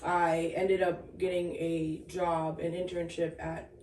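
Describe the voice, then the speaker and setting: A woman in her thirties with a lowish voice talks things through as an interview guest, pausing and restarting as she reflects. She sits away from the microphone in an echoey room. A faint hiss runs underneath. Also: little pitch movement, a little mellow, distant mic. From Sudan, raised in the Twin Cities. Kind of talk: casual interview.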